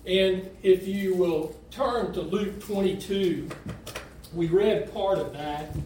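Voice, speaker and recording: A man's voice: a little high, darkish tone, a little hiss or hum. A man from Texas giving a folksy, conversational sermon as a visiting lay preacher, asking the congregation questions as he goes. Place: church sanctuary. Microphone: standing away from the mic. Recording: very echoey church hall, mic far off.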